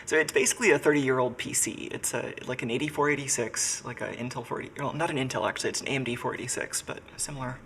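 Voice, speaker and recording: A man's voice: medium pitch, steady tone, quick pace, few pauses, fairly bright tone, a little hiss or hum. A man who is sick and congested, chatting casually on a live stream from his workshop and thinking aloud with lots of fillers. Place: home workshop.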